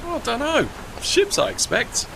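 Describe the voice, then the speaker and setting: Man as humble tugboat, lively intonation. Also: very high voice, unhurried, gravelly, noisy background, full character voice. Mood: reassuring. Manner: colloquial, unpolished grammar. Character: humble, kindly male tugboat, plain-spoken companion. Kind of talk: audio drama acting.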